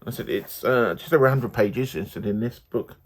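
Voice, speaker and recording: Man, slightly low voice, very husky, rambling, hesitant, casual book reviewer. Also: fairly quick.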